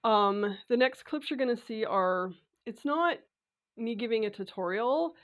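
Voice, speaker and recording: Raspy, congested woman chatting about sewing. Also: on the high side, animated, a little slow. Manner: chatty, with frequent filler sounds and restarts. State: congested, recovering from a cold. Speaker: sewing vlogger. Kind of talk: casual talk to camera.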